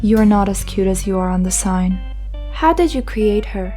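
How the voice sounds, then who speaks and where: A woman's voice: mid-range voice, expressive, moderate pace, warm tone, soft background music, lightly acted. An actress playing a defiant young woman held captive in a comic dub, challenging and sardonic. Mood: sardonic, guarded. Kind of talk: scripted comic dub acting.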